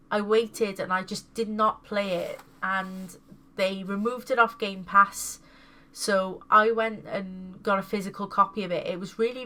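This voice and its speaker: A woman's voice: medium pitch, pushed and bright. A woman casually showing off her new video game pickups, sounding congested from a cold and repeating words with enthusiasm. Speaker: gaming video host.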